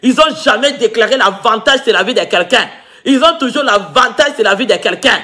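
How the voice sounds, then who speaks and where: A man preaching a fervent sermon as pastor, breaking into shouts, whose first language is not the one he preaches in. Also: extremely high pitch, expressive, brisk. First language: a Congolese language.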